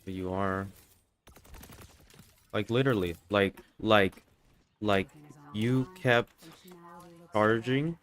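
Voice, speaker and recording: A man with a slightly low, congested voice talks through a live gaming stream. He rambles and swears casually, filling the gaps with "like" and "you know". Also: extremely slow, long pauses, a bit bright. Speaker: livestreamer. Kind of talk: casual live gameplay commentary.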